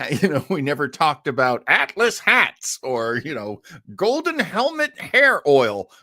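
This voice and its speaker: A man's voice: medium pitch, sharp tone, no echo, clean studio sound. A man hosting an old-time radio listening show, chatting casually in a rambling way full of asides. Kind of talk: casual live-stream chat.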